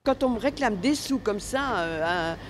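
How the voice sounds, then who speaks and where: A woman's voice: medium pitch, expressive, moderate pace. A woman interviewed at an outdoor market, irritated and huffy. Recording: street noise outdoors.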